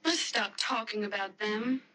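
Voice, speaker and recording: A woman's voice: medium pitch, steady tone, unhurried, bright, forceful tone. A woman playing a stubborn, anxious young American bride-to-be in a radio mystery drama, speaking in a hesitant, protesting, emotional way. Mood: defensive. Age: young adult.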